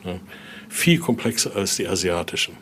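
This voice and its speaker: A man giving an informal, halting explanation as a guide, often ending phrases with little questioning tags. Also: deep voice, a bit bright, slight background noise. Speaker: interviewee, exhibit guide and Voodoo expert. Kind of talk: informal spoken explanation during a guided tour.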